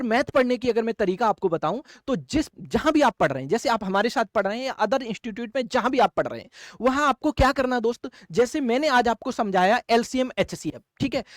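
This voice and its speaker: Exam-prep instructor, medium-pitched and closely recorded without echo, lecturing students directly with frequent checks for understanding. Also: clean studio sound. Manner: addresses listeners as friends and brothers, checks understanding often.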